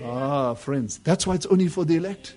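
A man preaching a sermon with fervent emphasis that drops into hushed asides. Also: a little high, moderate pace.

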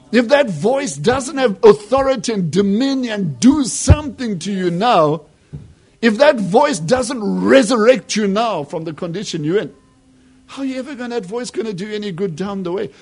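A man preaching a sermon with fervent emphasis that drops into hushed asides. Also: high voice, husky.

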